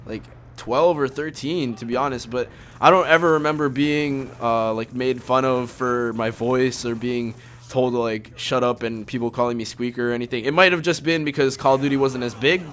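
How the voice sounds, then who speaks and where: A young man with a clear, smooth voice and middling pitch hosts a laid-back gaming conversation. He talks informally and rambles as he goes, often qualifying what he says. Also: steady tone, moderate pace, fairly bright tone. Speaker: host of a gaming video series. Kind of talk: casual chat over gameplay.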